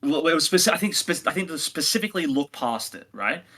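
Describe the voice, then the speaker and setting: A man's voice: fairly high pitch, big pitch swings, fast, pushed and bright. A man co-hosting a review podcast, chatting casually and giving his opinions with emphatic phrasing. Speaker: podcast co-host reviewing audio dramas.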